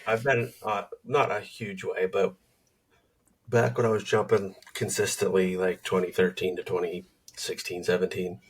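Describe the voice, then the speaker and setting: A very deep-voiced man in his forties, chatting in a relaxed, easygoing way. He sits back from the microphone, so he sounds distant in the recording. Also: moderate pace, slightly rough. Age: 40s. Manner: laid-back, easygoing, reminiscing. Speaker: podcast co-host, skydiving instructor. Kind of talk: casual chat. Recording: voice from far off the mic.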